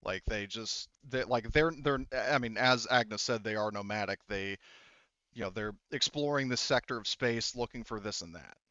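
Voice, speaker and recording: Halting man game master, slightly dull mic. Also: lowish voice, pushed and bright, no room sound. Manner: halting, explanatory, repeats himself. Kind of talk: casual tabletop game narration.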